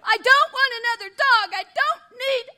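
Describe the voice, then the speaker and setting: A woman's voice: very high voice, normal speed, sharp tone, full character voice. A woman playing a sulky, grieving teenage daughter in a live audio drama, indignant and melodramatic with her parents. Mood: anguished anger.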